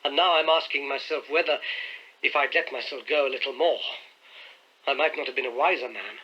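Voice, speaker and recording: A man reading as a distressed young gentleman who recounts family troubles in an earnest, indignant, emphatic way. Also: mid-range voice, fairly quick, husky, highs cut off, natural voice. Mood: bitter regret.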